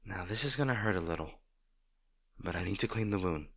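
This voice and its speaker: A man playing a gentle, protective boyfriend in an intimate roleplay audio, speaking in a tender, reassuring way. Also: low voice, lively intonation, brisk, no echo, exaggerated voice. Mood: tender, soothing. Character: gentle, protective boyfriend comforting a distraught partner.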